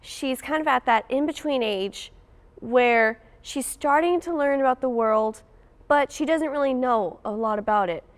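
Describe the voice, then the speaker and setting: A woman's voice: high voice, unhurried. A teenage female student actor giving a casual explanation in an interview. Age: teens, high school student.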